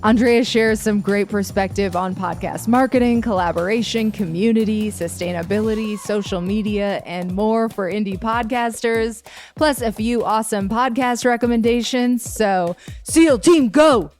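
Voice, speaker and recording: A woman's voice: medium pitch, moderate pace, darkish tone. A bubbly woman hosts her interview podcast with an upbeat, chatty energy. She laughs easily and keeps things light, with faint music playing beneath her voice. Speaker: podcast host.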